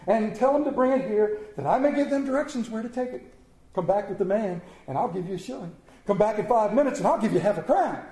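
Storybook role: man playing a repentant old miser, very high, excitable swoops, exclamatory and stammering, echoey room. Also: fast talker. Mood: delighted, eager. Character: repentant old male miser, desperate, then giddy with joy. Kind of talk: dramatic storybook reading.

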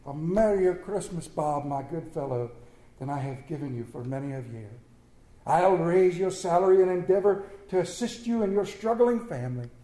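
An elderly miser, suddenly gleeful and full of chuckles, who still plays at being gruff, voiced by a man reading aloud. The voice sits fairly high yet mellow. A roomy echo hangs behind every word. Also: sing-song, a little slow, exaggerated voice. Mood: warm. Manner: chuckling, emphatic, playfully gruff. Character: elderly male miser turned jolly, gleeful.

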